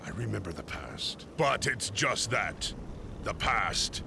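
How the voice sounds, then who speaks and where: An actor playing a bitter, grieving undead pirate captain in a video game, brooding and menacing with a growling, theatrical delivery. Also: male voice, lowish voice, very animated, takes it slowly, background noise, heavily acted. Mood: mournful, brooding. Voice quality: gravelly, growling. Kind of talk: video game cutscene acting. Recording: music behind.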